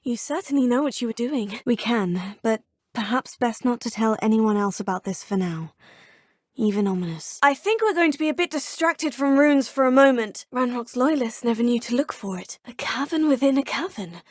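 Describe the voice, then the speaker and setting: A woman's voice: a little high, expressive, normal speed, dry recording, clean studio sound. An actress voicing a polite, curious young female witch student in a video game, speaking in a formal, inquisitive way. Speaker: player character. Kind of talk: video game dialogue lines.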